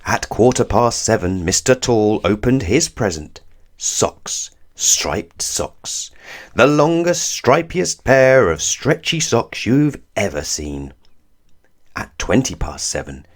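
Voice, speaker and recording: A man reading a children's story aloud in an expressive, deliberate way, drawing out words for emphasis. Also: fairly low pitch. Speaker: storybook reader. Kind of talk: scripted children's story narration read aloud.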